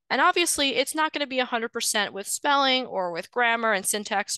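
A woman's voice: mid-range voice, sharp tone, no room sound. A woman genealogist giving a screen-share lecture, explaining step by step with frequent ums and uhs. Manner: explanatory, friendly, with frequent um and uh fillers. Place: indoors, voice over shared slides.

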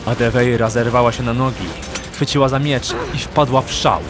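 A man's voice: medium pitch, steady tone, moderate pace, music in the background. A man narrating a fantasy audiobook in a dramatic, scripted storytelling style.

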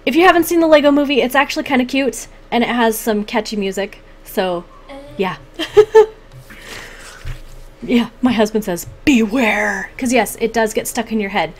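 Chatty, higher-voiced woman vlogging at home. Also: expressive, moderate pace. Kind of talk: casual chat to camera. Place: small home room, indoors.

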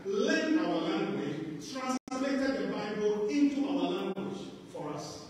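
A high-pitched, middle-aged pastor born in Africa preaches in a large church hall. His voice rings with long echo and sounds far from the mic, and he lilts some lines as he calls out for amens. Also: sing-song, slow, darkish tone, a little hiss or hum. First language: an African language. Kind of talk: sermon. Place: large church hall, stage with lit backdrop. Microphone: handheld mic, recorded from afar.

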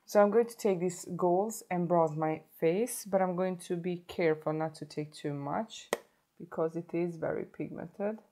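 A woman's voice: fairly low pitch, normal speed, background noise. Chatty woman with a sore throat. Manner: chatty, self-correcting, stops to show products. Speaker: beauty vlogger. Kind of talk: casual makeup tutorial. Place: indoor home filming set.

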